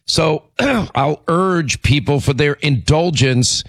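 A middle-aged man hosting a talk radio show with a hoarse, raspy voice from laryngitis, clearing his throat and talking emphatically. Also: fairly high pitch, takes it slowly, dry recording. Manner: emphatic, repetitive, combative. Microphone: studio microphone.

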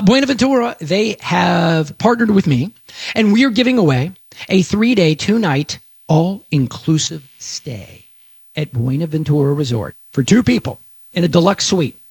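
A middle-aged man from Texas hosting a radio show, talking fast and animated in a rambling, self-promoting monologue. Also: high voice, gravelly, breathy edge, no room sound.

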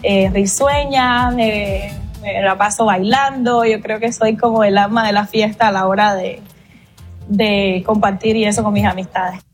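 A woman of about thirty from Cuba, answering casting interview questions in a slightly halting way. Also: medium pitch, steady tone, soft background music. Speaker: pageant contestant.

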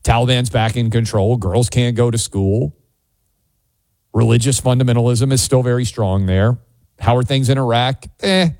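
A man's voice: mid-range voice, fairly even intonation, moderate pace, a little mellow, no room sound. Man, American accent, talk radio host, mid-range voice, dry close studio sound, opinionated, assertive, conversational. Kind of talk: opinionated call-in radio commentary.

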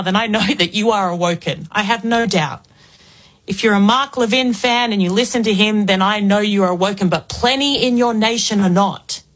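A woman's voice: fairly high pitch, fairly bright tone. An Australian woman in her forties, filling in as a talk radio host with an emphatic, rhetorical political monologue. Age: 40s.

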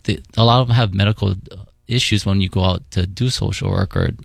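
Deep gravelly man from Hawaii. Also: a little fast, no echo, studio quality. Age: 40s. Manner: relaxed, thoughtful, with many fillers. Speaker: guest, paramedic supervisor. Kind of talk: casual interview in studio. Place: radio studio.

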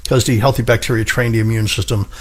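A middle-aged man with a husky voice, hosting a health radio show as a pharmacist, talking with enthusiasm and stacking up repeated phrases for emphasis. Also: lowish voice, steady tone, quick pace. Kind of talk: radio health talk monologue.

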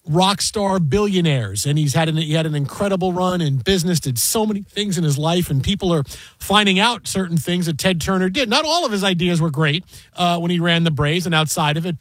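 A man hosting a sports talk radio show and running a quiz game, brisk and joking. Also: sits high, a bit bright, no room sound.

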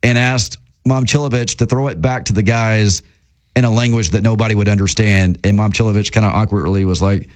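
Chatty sports radio host, man. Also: on the low side. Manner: lively, also reads ad copy quickly. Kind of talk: casual sports talk radio chat.

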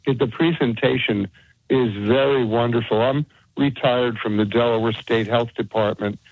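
Gravelly older man on a phone line. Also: medium pitch, steady tone, no room sound. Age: 70s. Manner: hesitant, polite, with pauses and fillers. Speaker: caller. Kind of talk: phone-in call.

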